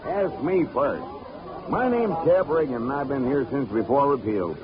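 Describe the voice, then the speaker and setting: An actor playing a gruff, nosy old boatman with a folksy nautical drawl, in 1940s radio drama style. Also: medium pitch, lively intonation, normal speed, music in the background, lightly acted. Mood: boastful, folksy. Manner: folksy nautical drawl full of sailor slang. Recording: muffled, noisy 1940s radio broadcast.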